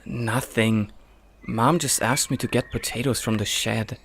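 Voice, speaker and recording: An actor playing a gentle, timid, earnest boy in an anime-style dub, with a hesitant, introspective delivery. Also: mid-range voice, steady tone, measured pace, gravelly, slight background noise. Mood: casual. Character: gentle, timid, earnest young boy who feels powerless.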